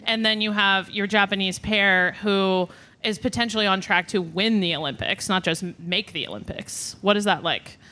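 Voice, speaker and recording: Bright, forceful woman podcast host. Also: medium pitch, moderate pace. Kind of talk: casual interviewing on a live podcast. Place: live show before an audience.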